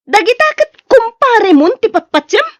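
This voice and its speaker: Woman acting a high-pitched scolding Ilocano wife. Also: very animated, moderate pace, no echo, studio quality. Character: long-suffering, scolding wife of a drunkard. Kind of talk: audio drama acting.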